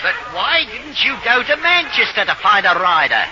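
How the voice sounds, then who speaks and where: An actor playing a pushy London racing swindler in a 1940s radio drama, brash, slangy and wheedling. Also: male voice, high-pitched, big pitch swings, moderate pace. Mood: scornful. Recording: muffled old radio broadcast with hiss.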